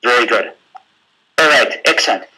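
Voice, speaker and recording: A man from Finland with a Finnish accent, giving a live webinar in a halting way, with many fillers and repeated words. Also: mid-range voice, pushed and bright. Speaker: webinar speaker.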